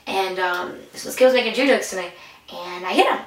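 A woman's voice: medium pitch, a little fast. A young woman co-hosting a podcast, telling rambling stories in casual chat and swearing freely. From New York State. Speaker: podcast co-host. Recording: echoey room, mic at a distance.

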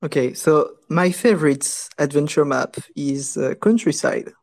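A man with a French accent, a guest on a casual podcast, unhurried and halting, laughing often. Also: a little high.

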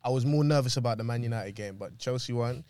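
A man's voice: mid-range voice, fast, a little mellow, clean tone, dry recording. A man co-hosting a football podcast, boastful, insistent and slangy. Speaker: podcast co-host. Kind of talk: casual football chat.

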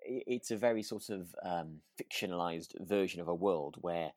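A man chatting casually as co-host of a relaxed podcast. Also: low-pitched, normal speed, dry recording, studio quality. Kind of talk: relaxed casual chat about a radio drama.

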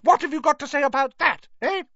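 Scolding middle-aged mother, shrill and loud; male reader doing the voice. Dull, dry sound. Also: wildly swooping pitch, very slow, no echo, full character voice. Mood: shouting. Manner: shrill, shouting, furious.

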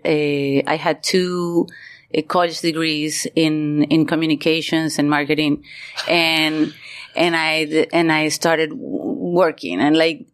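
A woman's voice: low-pitched, smooth. A middle-aged woman from Venezuela with a Venezuelan Spanish accent, telling her story casually and candidly as an interview guest. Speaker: guest, artist.

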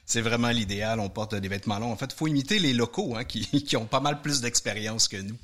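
Québécois radio host guy, bright voice, asks stuff, lots of euh. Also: mid-range voice, brisk. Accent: Québécois French. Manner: guiding questions, filler-heavy. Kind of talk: casual interview.

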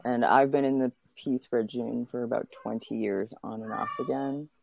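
A woman's voice: very deep voice, fairly even intonation, moderate pace, gravelly, dry recording, highs cut off. A woman in her thirties from Canada, born in Uganda, talking casually as a podcast interview guest.

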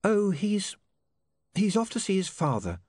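A man plays a concerned young woman in a storybook. She is polite, hesitant and full of questions, with a high, swooping voice. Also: huge pitch swings, normal speed, darkish tone, no echo, heavily acted. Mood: taken aback.

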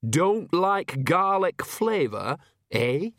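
A man's voice: fairly high pitch, animated, normal speed, fairly bright tone, no echo, studio quality, voice pushed up. A man voicing a wrinkled, bossy old village witch woman in an audiobook, folksy, blunt and colloquial. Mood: cheeky, blunt. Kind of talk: audiobook character voice.

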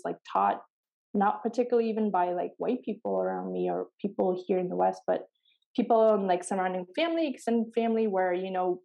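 A woman's voice: medium pitch, dark tone, low-quality recording. A woman whose first languages are Pashto and Farsi, talking earnestly in a reflective podcast conversation and often restarting her sentences. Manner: earnest, searching, many restarts. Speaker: podcast co-host.

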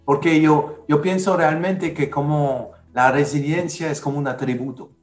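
A man's voice: on the high side, steady tone, moderate pace, echoey room, soft background music. A man from France with a French accent tinged with Dominican speech, hosting a casual panel talk and hesitating often with fillers and restarts. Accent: French, with Dominican touches. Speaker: podcast host.

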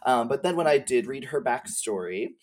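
A man's voice: medium pitch, lively intonation, moderate pace, darkish tone. A man chatting casually as a guest game streamer, talking in a chatty, filler-filled way. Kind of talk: casual chat.

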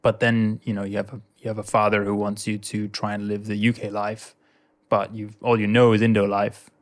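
A man's voice: lowish voice, flat delivery, a little fast. A young man in his twenties from Indonesia, a podcast guest telling his story in an easygoing, chatty way. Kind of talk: casual interview chat.

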